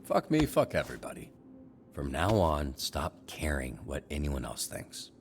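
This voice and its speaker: Very deep, gravelly mentor. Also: male voice, huge pitch swings, a little slow, heavily acted. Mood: blunt encouragement. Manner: blunt, profane, encouraging. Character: gruff, deep-voiced, blunt middle-aged male mentor. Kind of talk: video game voice acting. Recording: game audio with music behind.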